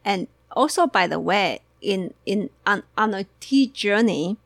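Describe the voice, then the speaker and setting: A woman from Taiwan co-hosting a casual chat, talking in halting bursts with many restarts and fillers. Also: medium pitch, expressive, creaky, a bit bright, no echo. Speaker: co-host.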